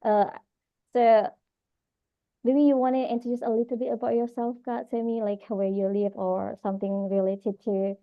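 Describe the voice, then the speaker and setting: A woman's voice: on the high side, flat delivery. Lo-fi Indonesian-accented woman hosting online. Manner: halting, searching for words. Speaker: online class host. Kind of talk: informal announcements and hosting. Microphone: video call. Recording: dull lo-fi video-call audio.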